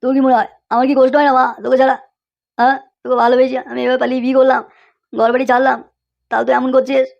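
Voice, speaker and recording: A high, hurried voice performed by a woman for an exasperated young cartoon husband. He blusters and scolds with a short temper, and the recording is dull and lo-fi. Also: little pitch movement, creaky. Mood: scolding, pleading. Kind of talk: comic cartoon dialogue. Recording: dull lo-fi sound.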